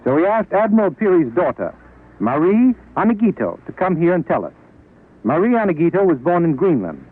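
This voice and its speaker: A man's voice: a little high, big pitch swings, fairly quick, breathy edge. Formal male interviewer on tinny old radio. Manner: formal, polished. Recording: tinny vintage radio recording.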